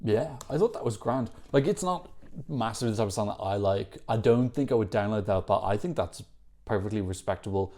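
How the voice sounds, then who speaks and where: A man's voice: lowish voice, brisk, warm tone, a little hiss or hum. A man hosting a Eurovision reaction video, giving chatty, opinionated commentary and correcting himself as he goes. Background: faint music from the songs being reacted to.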